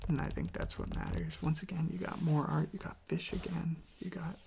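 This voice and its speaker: An ASMR creator speaking very softly and casually into a close microphone, with a breathy, creaky voice and a meandering, quietly enthusiastic way of talking. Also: medium pitch, steady tone, a little fast, slight background noise. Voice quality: whispery, breathy with creaky fry. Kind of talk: soft-spoken ASMR, rambling music talk.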